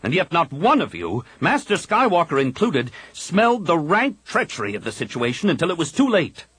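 A man voicing a defiant young male Jedi pilot, with an insistent, forceful delivery. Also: on the high side, huge pitch swings, normal speed, slightly rough, no echo, voice pushed up, full character voice, rough recording. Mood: scornful.

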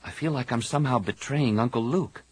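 A man voicing a hot-tempered young woman Jedi with a sharp, heated way of speaking. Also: mid-range voice, brisk, breathy edge, no room sound, pitched above the performer's own voice, lightly acted.

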